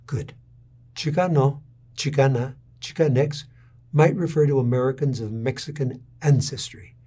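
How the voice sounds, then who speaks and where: A middle-aged man from Texas, giving a lecture in a measured, deliberate storytelling way. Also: fairly high pitch, dark tone. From San Antonio, Texas. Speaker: university lecturer.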